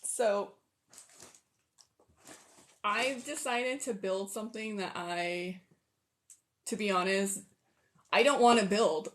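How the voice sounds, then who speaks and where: A hoarse, tired-sounding woman hosting a casual livestream, rambling and thinking aloud as she sets up. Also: medium pitch, takes it slowly, long pauses, a bit bright. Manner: rambling, self-deprecating, thinks aloud while sorting pieces. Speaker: livestream host. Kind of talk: casual livestream chat while setting up a build.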